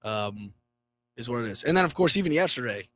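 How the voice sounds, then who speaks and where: A young man talking sports on a radio show, his voice hoarse from shouting, joking in loose banter. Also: mid-range voice, expressive, brisk, a little hiss or hum. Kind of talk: casual sports chat. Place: radio studio. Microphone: studio mic.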